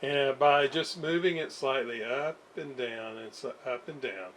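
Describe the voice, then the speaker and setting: A man giving casual, unscripted workbench commentary in a halting way, with pauses and self-deprecating asides. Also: medium pitch, fairly bright tone. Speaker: host of radio repair videos. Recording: clear background noise.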